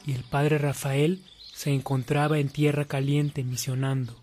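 An actor narrating a saint's life in a radio drama as a measured storyteller. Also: male voice, medium pitch, flat delivery, a little mellow, soft background music, close to an everyday voice.

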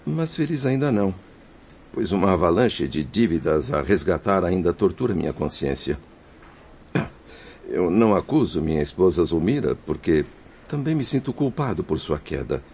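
A man's voice: low voice, very animated, normal speed, a little mellow, breathy edge, heavily acted. An actor playing the guilt-ridden, grateful spirit of a father in a radio soap, speaking in a solemn, declamatory way. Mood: anguished, remorseful. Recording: dull lo-fi radio drama recording.

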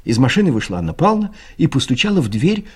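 A man's voice: mid-range voice, big pitch swings, fast, husky. A man reading scripted literary narration for an audio play, telling the story expressively.

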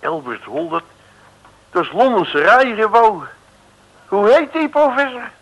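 A man's voice: high voice, expressive, a little slow, poor audio. A man playing a brash, chummy detective in a comic radio play, slangy and blustering in an informal, folksy way. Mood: indignant, baffled.

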